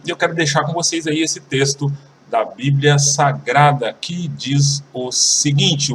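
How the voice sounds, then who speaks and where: A Brazilian man with a fairly high voice teaches an English lesson, repeating each example slowly and carefully. He sits a little back from the mic, and faint noise hums behind him. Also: a bit bright, recorded from a distance, low-quality recording. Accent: Brazilian Portuguese. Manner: slow, careful repetition of example sentences.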